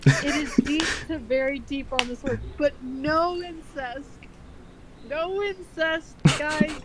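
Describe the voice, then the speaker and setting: A woman's voice: high voice, slow. A woman reading aloud casually and comedically, breaking off for sarcastic, sweary commentary and drawling words for emphasis. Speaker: co-host of a comedic dramatic fanfiction reading. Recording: unevenly balanced amateur audio, crowd-like background noise.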